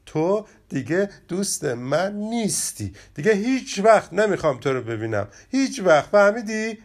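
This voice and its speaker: A man voicing a proud, quick-tempered young rabbit boy in a children's storybook reading, speaking with emphatic indignation. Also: on the high side, lively intonation, voice pushed up, lightly acted. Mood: upset.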